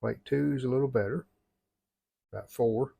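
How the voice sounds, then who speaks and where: A man's voice: mid-range voice, moderate pace, creaky, mellow, a little hiss or hum. A middle-aged man giving unscripted how-to commentary at a workbench in a plain, folksy, matter-of-fact way. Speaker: hobbyist repair vlogger. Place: indoor home workshop at a workbench.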